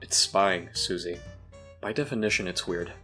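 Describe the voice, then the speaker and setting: Man playing a low, deadpan sarcastic teen. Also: moderate pace, soft background music. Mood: dry, sardonic. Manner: deadpan, laconic. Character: deadpan, sarcastic teenager, dryly teasing. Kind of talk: audio drama acting.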